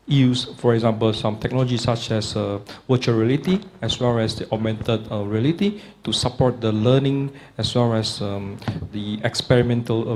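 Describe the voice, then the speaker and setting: A man from an engineering college describing teaching methods in a halting way, with frequent fillers, on a video call. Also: medium pitch, darkish tone, slight background noise.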